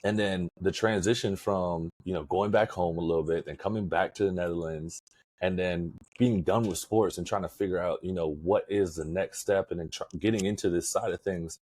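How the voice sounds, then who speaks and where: A man's voice: deep voice, fairly even intonation, moderate pace, darkish tone, slightly rough. A man hosting an interview, asking loose, laid-back questions full of fillers. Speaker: podcast host, interviewer.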